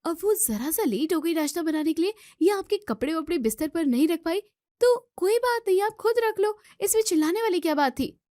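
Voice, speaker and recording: A woman's voice: very high voice, animated, fairly quick, dark tone, dry recording, studio quality. A woman playing an outspoken young student in a family drama, scolding and arguing with emphatic questions. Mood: indignant, reasoning. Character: outspoken young student daughter defending her sister-in-law. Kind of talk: Hindi family drama voice acting.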